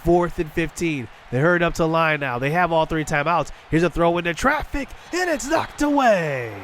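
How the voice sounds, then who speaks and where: A man with a congested voice giving excited play-by-play commentary on a football video game as a gaming channel host. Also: a little high, normal speed, slight background noise. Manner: excited play-by-play, shouting on big plays. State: congested from illness.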